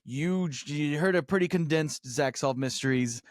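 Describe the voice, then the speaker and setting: A man's voice: a little high, normal speed, dry recording, studio quality. A man hosting a podcast in casual chat, rambling, self-deprecating and sweary. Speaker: podcast host.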